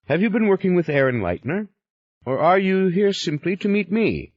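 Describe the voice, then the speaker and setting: A man's voice: high voice, very animated, normal speed, dry recording, dull audio, pitched above the performer's own voice. A man voicing a suspicious, defiant male outcast of an order, speaking curtly in a raised voice. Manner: curt, defiant. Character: proud angry male ex-member, suspicious.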